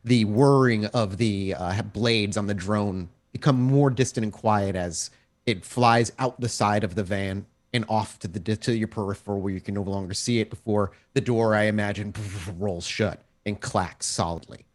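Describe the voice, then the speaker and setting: Guy running a tabletop rpg, deep-ish voice, describing scenes, kinda stop and start. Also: moderate pace. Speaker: game storyteller. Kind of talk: improvised tabletop game narration.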